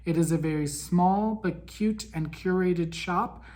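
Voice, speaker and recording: A man hosting a casual monthly podcast recap, raspy and weak in breath from a head cold, chatty with frequent ums. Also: high-pitched, measured pace, darkish tone. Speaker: podcast host.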